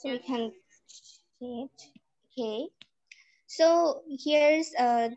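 A teenage girl with a Bangladeshi accent hosting an online group reading over a video call. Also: high-pitched. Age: teens. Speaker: session host. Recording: dull video-call audio.

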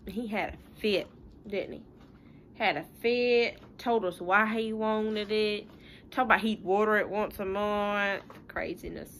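A young woman talks like a teacher to small children, plain-spoken and folksy. Her voice is bright and forward, at a middling pitch. It is recorded at home on a device mic with slight background noise. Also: measured pace. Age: young adult. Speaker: schoolteacher. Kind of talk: informal talk to young pupils and parents. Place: living room at home.

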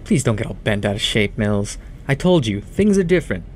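A man's voice: fairly low pitch, sing-song, a little fast, slight background noise. Smug, taunting troublemaker played by a man. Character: smug, taunting young male troublemaker, needling a friend. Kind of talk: horror audio drama acting.

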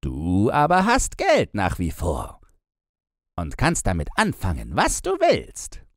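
Storybook Devil: sly, commanding, mocking, theatrical, very animated pitch, slow and drawn out. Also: male voice, dry recording, studio quality, exaggerated voice. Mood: commanding, bargaining. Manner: theatrical, drawn-out, swooping delivery. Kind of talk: fairy-tale audiobook character reading.

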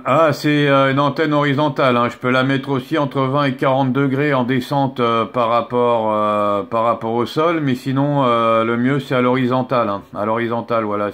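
A man talks casually as a radio operator at a middling pitch. He is hesitant, pausing to search for words and tagging his phrases as he goes. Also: fairly bright tone. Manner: hesitant, often ends phrases with "hein".

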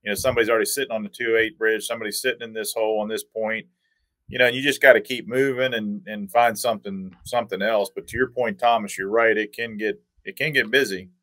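A man in his forties chatting as a podcast guest over a video call, telling things in a measured way and repeating words for emphasis. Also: fairly low pitch, steady tone, a bit bright, no room sound, rough recording. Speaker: podcast guest, tournament angler. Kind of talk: casual storytelling chat.

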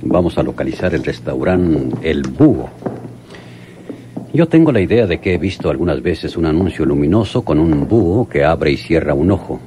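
An actor in a radionovela playing a methodical, steady police lieutenant with a deliberate, authoritative manner. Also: male voice, very deep voice, background noise. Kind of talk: old radionovela acting.

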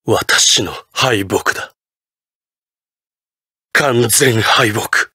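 An actor playing an imperious, ruthless patriotic president in a Japanese anime fighting game, with grandiose, theatrical declarations. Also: male voice, on the high side, fairly bright tone, no room sound, studio quality.

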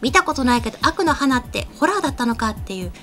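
Young female host, very high register, quick delivery, polite announcer phrasing for a studio anime programme. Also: big pitch swings, over loud music. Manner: polite, formal presenting. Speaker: host of an anime news show. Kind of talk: scripted announcements and studio chat. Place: small indoor streaming studio. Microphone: desk microphone on an arm.

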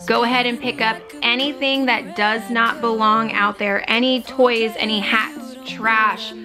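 A woman in her thirties walks viewers through her cleaning routine in a practical, instructive voice-over that sits a little high. She talks from home, and music plays clearly underneath her. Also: fairly even intonation, measured pace. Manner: instructive, practical, matter-of-fact. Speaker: cleaning vlogger. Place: home living room, on a couch. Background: background music.

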